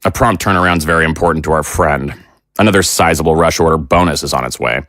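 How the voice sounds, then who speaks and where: An actor playing a soft-spoken, hesitant middle-aged father in an audio drama. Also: extremely low pitch, quick pace, no room sound, studio quality. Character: gentle, evasive middle-aged father and tailor.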